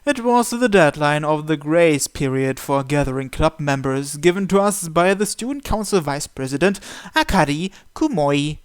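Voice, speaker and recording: A game narrator translating and reading visual novel text aloud, with some hesitant pauses. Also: mid-range voice, lively intonation, smooth, no room sound, clean studio sound. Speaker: video game playthrough narrator. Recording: game music behind the voice. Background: visual novel music and Japanese voice acting.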